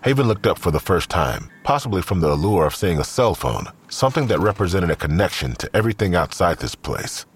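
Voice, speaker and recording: A man narrating an audio drama as a sober storyteller, with a raspy voice. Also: deep voice, sing-song, a little fast, few pauses, full character voice. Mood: sober, descriptive.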